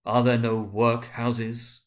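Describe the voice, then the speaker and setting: A man's voice: medium pitch, flat delivery, takes it slowly, natural voice. A man voicing a solemn, ageing Christmas spirit, stern and sorrowful, in an emphatic, declamatory storybook reading. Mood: stern, thundering. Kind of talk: dramatic storybook reading of a Victorian tale.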